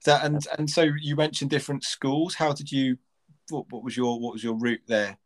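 A man's voice: medium pitch, flat delivery, normal speed. Curious male interviewer. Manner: curious, trailing questions with restarts. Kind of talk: casual conversational interview.

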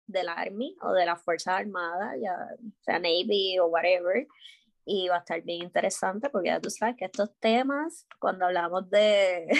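A woman hosting a podcast, talking in a quick, casual way. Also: medium pitch, creaky, no echo. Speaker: podcast host.

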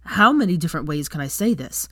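A woman voicing a gruff, hot-tempered young wizard in a story reading. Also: very animated, normal speed, mellow, dry recording, studio quality, heavily acted. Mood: angry. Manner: gruff, low and blunt.

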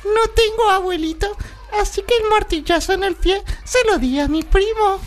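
A woman's voice: very high voice, normal speed. A woman voicing an innocent, eager small pupil in comic radio theatre, earnest and childishly matter-of-fact.

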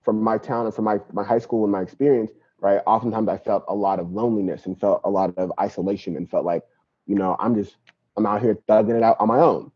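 A man's voice: on the low side, steady tone, fast talker, breathy edge. A young man from Nigeria, a guest talking casually and reflectively about his life, often tossing in "you know" and "right?". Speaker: podcast guest, activist. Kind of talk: casual interview talk. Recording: dull lo-fi podcast audio.

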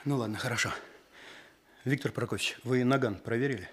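An actor playing an earnest, modest young police officer in a radio play, with a brisk, boyish way of talking. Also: male voice, mid-range voice, breathy edge, natural voice.

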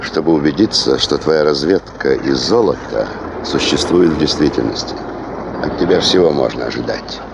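Very deep, wry Moscow official. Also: male voice, lively intonation, moderate pace, creaky, background noise, heavily acted. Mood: teasing. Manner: ironic, grandiose teasing. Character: wry, teasing high-ranking male official from Moscow. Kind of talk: Soviet radio play acting.

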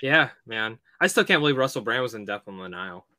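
A male podcast co-host with a bright, forceful mid-range voice in a very dry recording. He chats casually and animatedly, throwing in slang and swearing. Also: mid-range voice, animated, quick pace, no echo. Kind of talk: casual movie chat.

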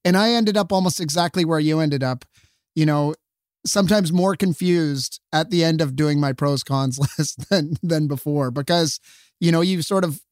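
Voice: mid-range voice, moderate pace, dry recording, clean studio sound. A podcast co-host chatting in a friendly way, chuckling and searching for words. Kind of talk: casual conversational interview.

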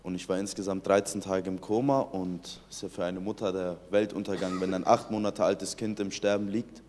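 A man's voice: low voice, little pitch movement, moderate pace, a little mellow, long reverb tail, slight background noise. A young man from Iran in his late teens, telling his personal faith testimony earnestly, often restarting his sentences. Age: young adult. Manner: earnest storytelling with many restarts.

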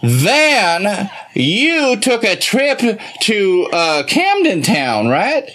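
Performer putting on a husky, rambling old Southern belle. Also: mid-range voice, wildly swooping pitch, fairly bright tone, full character voice. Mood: boastful, flirty. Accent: American Southern. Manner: halting, bawdy, grandiose. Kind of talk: improvised comedy character bit.

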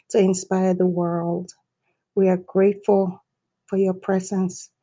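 A woman's voice: mid-range voice, mellow. A woman from Florida giving a grateful fan message. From Florida, USA. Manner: slow and grateful.

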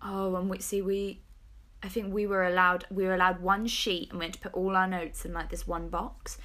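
A woman's voice: mid-range voice, flat delivery, moderate pace, a bit bright. Young British woman hosting a casual podcast. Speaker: podcast host. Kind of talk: casual chat.

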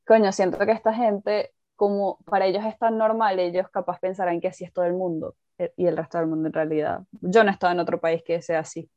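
A Venezuelan woman with a Venezuelan Spanish accent, chatting casually on a podcast in a quick, emphatic way with casual swearing. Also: lowish voice, flat delivery, a little mellow, no echo, lo-fi sound. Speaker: podcast guest. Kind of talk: casual chat.

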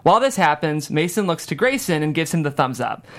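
A woman's voice: sits low, big pitch swings, fairly quick. A woman narrating screenplay stage directions in a scripted table-read style.

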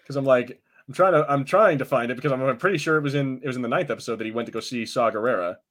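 A man's voice: mid-range voice, very fast, a bit bright. Casual swearing male podcast co-host. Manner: casual, swears freely, hesitant restarts. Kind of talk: casual chat.

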